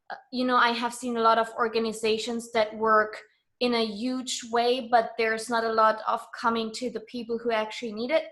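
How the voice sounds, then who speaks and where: Higher-voiced German-accented woman, earnest. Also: a bit bright. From East Germany. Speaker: interview guest. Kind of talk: reflective conversational interview.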